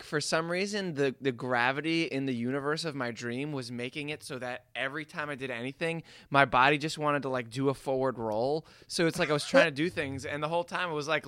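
Bright, crisp-voiced man chatting on a podcast. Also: mid-range voice. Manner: rambling, jokey. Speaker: podcast co-host. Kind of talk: casual comedic chat. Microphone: close mic recorded separately from video call.

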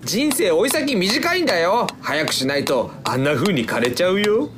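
Actor doing pushy nagging pal in an audio drama, very animated, noisy clicky background. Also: medium pitch, moderate pace, natural voice. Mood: coaxing. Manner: insistent, coaxing. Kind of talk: theatrical radio drama.

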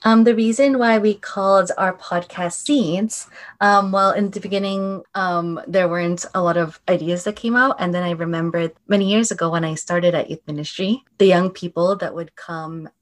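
A young woman of twenty-two with a somewhat low voice talks on a church youth podcast. She pauses often and fills gaps with hesitant ums. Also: fairly even intonation. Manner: hesitant, many fillers. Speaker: youth ministry leader, podcast co-host. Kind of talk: casual podcast chat.

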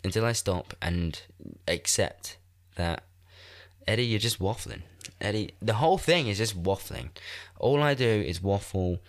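A man's voice: lowish voice, expressive, measured pace. A man in his twenties with a blocked, congested nose, rambling self-mockingly and swearing as he hosts a solo podcast from a room in a shared house. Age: 20s. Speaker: podcast host. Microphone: desk microphone.

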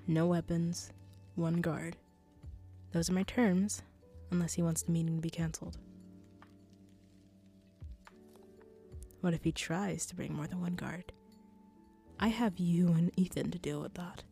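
Woman playing low, mellow mafia boss girlfriend. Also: fairly even intonation, moderate pace, creaky, faint music behind. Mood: curt, businesslike. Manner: assured, teasing, affectionate, pet names. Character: commanding female mafia boss, teasing and tender with her girlfriend. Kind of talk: intimate girlfriend audio roleplay.

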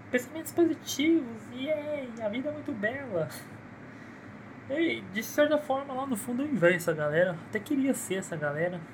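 Brazilian man rambling cynically into a phone. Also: on the high side. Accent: Brazilian Portuguese. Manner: rambling, cynical and profane, with self-mocking laughs. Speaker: podcast host. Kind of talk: casual solo podcast monologue. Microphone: phone held in one hand. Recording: noisy phone recording.

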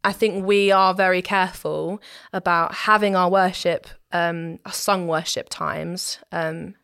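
A woman with a fairly low voice talks in a conversational interview. She explains her points thoughtfully, like someone who knows the practical side. The sound is very dry, with almost no room on it. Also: steady tone, unhurried, gravelly, fairly bright tone, clear, resonant tone, dry recording. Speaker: interview guest, church musician and singer.

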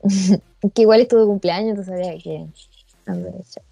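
A woman's voice: medium pitch, a little fast, dry recording. A woman with a Chilean accent, a podcast guest chatting casually and laughing easily. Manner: laughs easily, relaxed slang. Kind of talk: casual chat.

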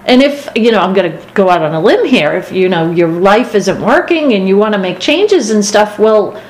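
Animated Boston woman co-host, echoey. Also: normal speed. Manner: animated, rises in pitch when excited. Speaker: podcast co-host. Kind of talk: casual discussion. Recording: echoey room with faint noise.

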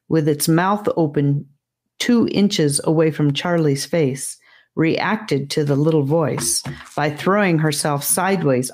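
A woman reading a fantasy story as an audiobook narrator, in a measured storybook manner. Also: low voice, a little mellow.

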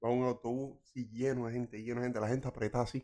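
A man's voice: mid-range voice, flat delivery, quick pace, mellow. A man with a Cuban accent co-hosting a podcast, joking casually and repeating phrases playfully. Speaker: podcast co-host.

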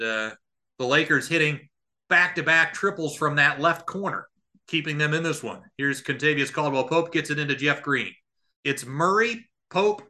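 Bright, forceful male basketball play-by-play caller. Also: fairly high pitch. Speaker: podcast host doing play-by-play. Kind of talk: live basketball radio play-by-play commentary.

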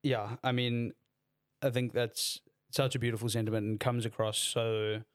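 A man co-hosting a podcast with a hoarse voice, responding with warm affirmations and quick laughs. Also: mid-range voice, flat delivery, dry recording, clean studio sound. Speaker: podcast co-host. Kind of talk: casual podcast chat.

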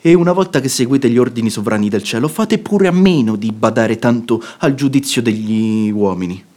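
Man acting a lively, righteous, indignant gentleman. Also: mid-range voice, normal speed, lightly acted. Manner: eloquent, emphatic, rhetorical questioning. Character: frank, reasonable gentleman, righteous and indignant moral arguer. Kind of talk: theatrical Italian radio drama acting.

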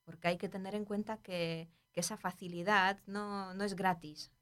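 A woman's voice: medium pitch, level tone, moderate pace, fairly bright tone. A woman whose first language is Polish, a literary translator talking thoughtfully on a podcast with many hesitations and restarts. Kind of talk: casual podcast conversation.

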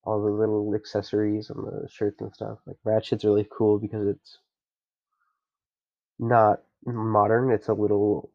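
A man's voice: on the low side, gravelly, warm tone, lo-fi sound. A young man with a stuffy nose, chatting casually and rambling with lots of fillers as a podcast host. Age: young adult. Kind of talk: casual solo chat.

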